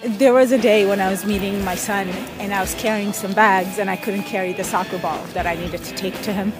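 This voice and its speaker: A woman in her thirties from Ethiopia, telling stories in a casual interview with thoughtful pauses and soft laughs. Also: mid-range voice, steady tone, music in the background, rough recording. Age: 30s. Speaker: interviewee, pickup soccer player.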